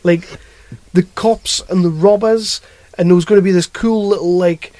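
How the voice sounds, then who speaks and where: A man's voice: sits high, fairly even intonation, normal speed, warm tone, no echo. The mate at the pub table with the lighter male voice, bluntly swearing his way through a film rant, heard up close with no room around him. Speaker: podcast host reviewing films. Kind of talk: casual chat.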